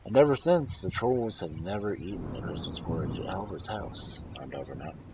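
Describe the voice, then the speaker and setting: Male storyteller, lower register, very expressive read-aloud with dramatic emphasis. Some noise in the recording. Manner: animated, dramatic pauses and emphasis. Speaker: storyteller and host of a live reading stream. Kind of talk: expressive folktale read-aloud with casual asides.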